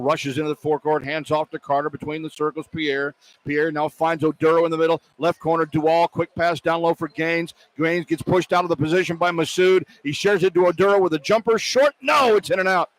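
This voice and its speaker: A man's voice: fairly high pitch, fairly even intonation, a little fast, no room sound, low-quality recording. A man calling live basketball play-by-play on the radio in clipped, precise phrases. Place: courtside in a large indoor arena.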